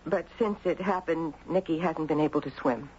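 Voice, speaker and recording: A woman's voice: low-pitched, normal speed, a little mellow, close to an everyday voice. Woman acting sad gracious mom in old radio drama, hesitant dramatic delivery, muffled a bit noisy. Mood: grave, haunted. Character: melancholy, gracious mother haunted by loss. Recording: dull noisy 1970s radio broadcast.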